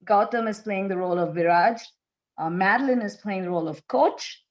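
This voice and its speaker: A woman's voice: mid-range voice, a little fast, highs cut off. A woman informally hosting an online showcase, introducing readers and asking for feedback. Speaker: host of the showcase.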